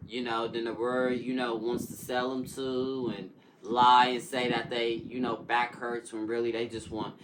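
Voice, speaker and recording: A man's voice: mid-range voice, level tone, measured pace, crowd noise. A man in recovery talking about addiction as an interview guest, earnest and emphatic, with preacher-like repetition. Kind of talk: casual interview talk. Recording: noisy recording with clicks.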